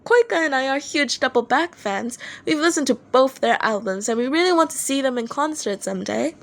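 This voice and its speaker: A woman playing an eager, innocent, starry-eyed boy drummer with a sweet, excited manner in an audio story. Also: high voice, fairly quick, voice pushed up. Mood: fannish.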